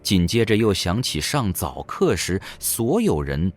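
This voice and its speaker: Deep-voiced male story narrator, very animated. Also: a little slow, soft background music. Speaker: audiobook narrator. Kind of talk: scripted dramatic narration of a fantasy story.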